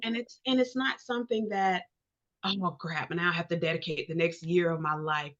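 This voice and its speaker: A woman's voice: fairly low pitch, steady tone, brisk. A woman from Georgia in the United States hosting a casual interview, speaking informally and affirming her guest. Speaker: interview host. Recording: dull lo-fi audio.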